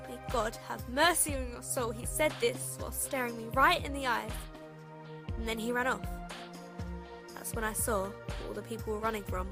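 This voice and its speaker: A woman's voice: fairly high pitch, normal speed, close to an everyday voice. Anxious schoolgirl survivor over music, acted by a woman. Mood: fearful recounting. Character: frightened lonely schoolgirl trapped at home in apocalypse. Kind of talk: dramatic first-person audio drama narration. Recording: voice over loud music bed.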